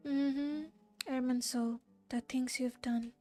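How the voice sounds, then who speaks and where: A woman with an Indian accent streams a game in a casual, reactive style. Her voice is high, and game music plays faintly behind her. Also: flat delivery, slow pace, warm tone.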